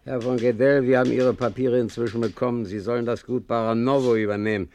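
An actor playing a businesslike wartime official in a 1950s radio play, curt and bureaucratic. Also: male voice, mid-range voice, fairly even intonation, fast talker, darkish tone, lightly acted. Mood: brisk, official. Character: brisk, businesslike male wartime resettlement official.

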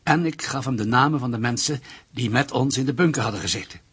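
A man acting in a radio play as an anxious captive ex-Nazi, guarded and deliberate as he pleads and confesses. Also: mid-range voice, fairly bright tone, husky. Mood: grave confession.

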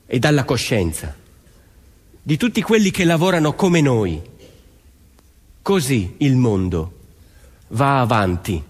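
A man's voice: medium pitch, breathy edge. An actor playing an earnest, rebellious peasant man in a theatrical monologue, emphatic and declamatory, in an echoing space. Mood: earnest, urging. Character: earnest rebellious young peasant man urging action against injustice. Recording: echoey hall with long reverb.

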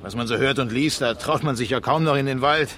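A man's voice: mid-range voice, fairly quick, a bit bright, a little hiss or hum, lightly acted. An actor playing a reserved, inquisitive and uneasy East German man in a naturalistic 1970s radio crime drama, hesitant and conversational in manner. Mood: uneasy, probing.

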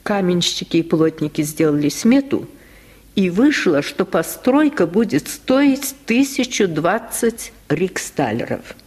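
A woman reading a literary tale in an expressive, theatrical manner, in the style of 1950s radio storytelling. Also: fairly low pitch, husky. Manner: slow, expressive, theatrical delivery. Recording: 1950s radio archive recording.

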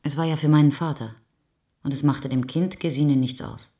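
A sober mother remembering the postwar years. Her voice is mellow and husky. She speaks with restraint and measured phrasing, as literary narration in a radio drama. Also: low-pitched, fairly even intonation. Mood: sober, restrained.

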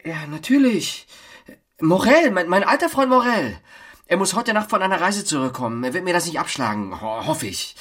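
A man's voice: high voice, sing-song, moderate pace, a bit bright, faint music behind, heavily acted. An actor playing a timid young lover in a radio drama, faltering and evasive as he makes excuses. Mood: nervous, evasive. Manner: hesitant, faltering.

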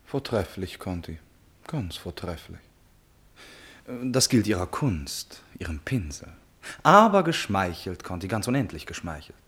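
An actor playing an impulsive, self-absorbed prince in love, in a classic German radio play, with theatrical exclamations and musing asides. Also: male voice, deep voice, very animated, unhurried, a bit bright, slightly rough, exaggerated voice. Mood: mocking, cool.

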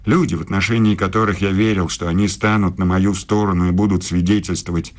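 An actor in a radio play voicing a proud, aggrieved older German philosopher, formal, measured and self-justifying. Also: male voice, low voice, steady tone, natural voice. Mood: formal, pleading. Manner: measured, formal, deliberate speech.

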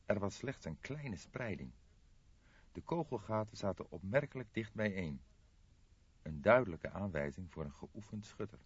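A man's voice: on the low side, lively intonation, moderate pace, husky. A man narrating a crime novel as a scripted, dramatic audiobook reading. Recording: roomy echo, slightly muffled audio.

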